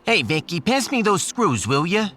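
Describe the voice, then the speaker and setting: A man's voice: sits high, very animated, moderate pace, fairly bright tone. A man voicing a brash, flirty, slangy starship repair worker in a sci-fi audiodrama. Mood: cajoling, flirty. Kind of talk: sci-fi audiodrama character acting.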